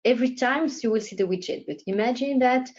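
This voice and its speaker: A woman's voice: a little high, fast talker, a little mellow, lo-fi sound. A woman co-presenting, giving an unscripted technical explanation.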